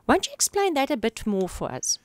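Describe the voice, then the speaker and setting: A woman hosting a radio interview in a studio, warm-hearted and effusive as she brings in her guest. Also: high-pitched, wildly swooping pitch, a little fast. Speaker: radio show host.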